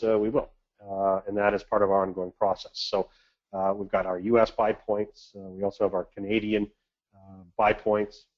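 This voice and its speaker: A man giving a measured, businesslike market briefing as an investment manager on a conference call, speaking in a halting, hesitant way. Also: on the low side. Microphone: conference call line. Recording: dull, band-limited conference call audio.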